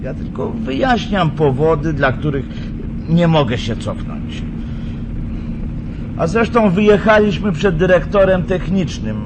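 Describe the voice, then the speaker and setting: A condescending engineer at the wheel in a radio drama. His voice is fairly high and swings widely in pitch as he explains things with stubborn reason. Car engine noise is clear behind him. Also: lively intonation, unhurried. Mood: patronizing. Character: male engineer driving at night, patronizing, stubbornly reasonable. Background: moving car.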